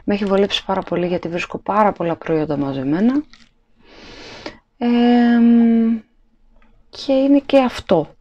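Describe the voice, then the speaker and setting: A woman's voice: mid-range voice, fairly even intonation, pauses often, mellow, muffled recording. A woman in her thirties chatting casually to the camera in her home kitchen at night, rambling with plenty of fillers and restarts. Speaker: vlogger. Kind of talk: casual haul vlog chat.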